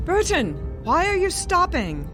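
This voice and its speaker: A woman's voice: very high-pitched, wildly swooping pitch, unhurried, music in the background, exaggerated voice. An actress playing a vain, demanding, wealthy older woman in a radio drama, haughty and snappish. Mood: impatient.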